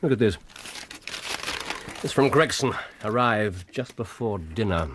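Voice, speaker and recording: An actor playing a brilliant male detective in a radio drama, musing, deliberate and gently condescending. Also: on the low side, animated, slight background noise.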